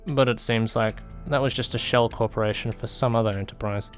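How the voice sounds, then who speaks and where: A man voicing a cynical male information dealer, calm and cutting, in audiobook dialogue. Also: medium pitch, a little fast, faint music behind, close to an everyday voice.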